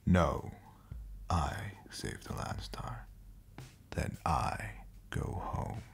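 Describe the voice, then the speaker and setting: A very deep, gravelly, ancient space captain. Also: male voice, lively intonation, exaggerated voice. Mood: cold resolve. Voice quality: gravelly, breathy near-whisper. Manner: slow, solemn, deliberate storytelling. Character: ancient, brooding, homesick spacefaring captain with powers, coldly resolute. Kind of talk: scripted audio roleplay drama.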